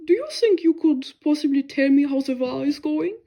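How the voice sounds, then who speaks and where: A woman voicing an elderly German monk, once a submarine officer, speaking slowly and courteously with a German accent. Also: sits high, animated, pitched above the performer's own voice. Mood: gentle. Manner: quiet, slow, courteous.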